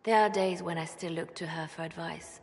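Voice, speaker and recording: An actress playing a composed, devout, pragmatic spymaster in a video game, speaking in a measured, earnest way. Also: female voice, lowish voice, steady tone, darkish tone, close to an everyday voice. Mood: wistful. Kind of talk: video game cutscene dialogue. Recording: long reverb, faint background noise.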